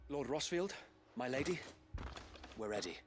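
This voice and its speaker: An actor playing an earnest, resolute knight commander, speaking formally and precisely in a dramatic fantasy game. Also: male voice, medium pitch, animated, measured pace, a little hiss or hum, natural voice. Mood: resolute, measured. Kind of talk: dramatic video game acting.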